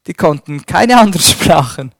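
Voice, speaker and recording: A man preaching a sermon and reading scripture, slow and emphatic, swinging between loud stresses and a dropped voice. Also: a little high, expressive, gravelly, no room sound.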